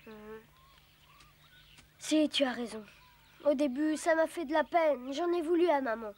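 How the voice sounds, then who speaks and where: Woman voicing a high-pitched earnest little boy. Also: unhurried, a little mellow. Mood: sincere. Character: polite, earnest, independent little jungle boy. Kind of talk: animated cartoon dubbing. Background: faint music.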